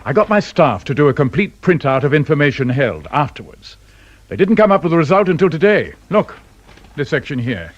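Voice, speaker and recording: An actor in a radio drama playing a grave, commanding senior intelligence chief with a clipped, authoritative way of talking. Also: male voice, on the high side, sing-song, brisk. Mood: intense, rueful. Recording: dull radio recording with loud noise.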